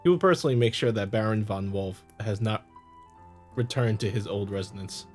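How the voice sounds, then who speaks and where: A man's voice: fairly low pitch, fairly quick, a little mellow, soft background music, natural voice. A man voicing a polite imperial detective in a visual novel, speaking in a formal, restrained, matter-of-fact way. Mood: measured, official. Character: polite, measured male imperial detective from a small village.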